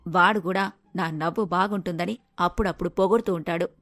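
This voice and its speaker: A woman's voice: fairly low pitch, fairly even intonation, a little fast, a bit bright, no room sound. A woman as touchy, dry-sounding mother-in-law. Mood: offended, boastful. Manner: querulous, questioning. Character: touchy, vain, curious middle-aged mother-in-law. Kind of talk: cartoon moral story dialogue.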